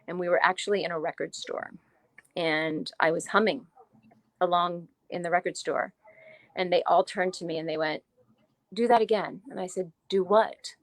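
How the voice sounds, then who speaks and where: A woman's voice: lowish voice, dry recording. Chatty woman in her 40s from new jersey, tells her story with lots of laughs, dry close sound. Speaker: guest, author. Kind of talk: casual interview storytelling.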